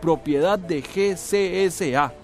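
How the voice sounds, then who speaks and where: A shaken villager from the countryside in a radio drama. His voice sits fairly high and he talks urgently in homely rural phrases. Soft music plays underneath. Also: slow. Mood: shaken, alarmed. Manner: agitated, urgent, colloquial rural speech. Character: frightened young rural villager bringing alarming news.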